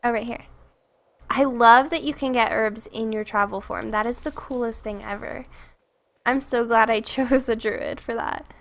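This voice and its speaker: A woman's voice: fairly high pitch, fairly even intonation, moderate pace, gravelly, a bit bright. A young woman streaming a game, chatting casually and rambling to chat while congested and stuffy from a cold.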